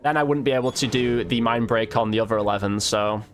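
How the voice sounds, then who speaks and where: A man's voice: mid-range voice, fast talker. Casual gaming man with noise behind him. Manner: informal, thinking aloud while playing. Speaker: gaming video creator. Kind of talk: casual gameplay commentary.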